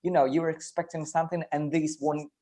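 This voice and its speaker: A man who produces music, talking in a casual panel chat in an earnest, self-deprecating, halting way. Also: on the high side, little pitch movement, mellow.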